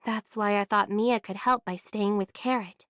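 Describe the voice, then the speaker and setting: A woman voicing a caring, thoughtful, soft-hearted young woman in an anime game. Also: mid-range voice, steady tone, moderate pace, no room sound, studio quality, lightly acted. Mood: concerned, tender.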